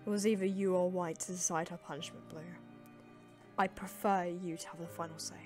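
A woman's voice: fairly low pitch, fairly even intonation, a little fast, darkish tone, close to an everyday voice. Woman doing a strict alien queen, clipped and bossy, formal, cartoon dub w music behind. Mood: cold, firm. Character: stern, commanding female alien gem ruler. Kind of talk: animated comic dub acting.

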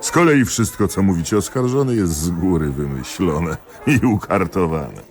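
Very deep-voiced, cynical jealous magistrate. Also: male voice, lively intonation, moderate pace, faint music behind. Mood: cynical, emphatic. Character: cynical, jealous male examining magistrate, confessing and agitated. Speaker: actor in a radio play. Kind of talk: theatrical radio drama dialogue.

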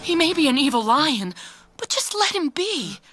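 A woman's voice: sits high, big pitch swings, moderate pace, a bit bright, no room sound. A woman voicing a protective mother elephant, alarmed and reproachful.

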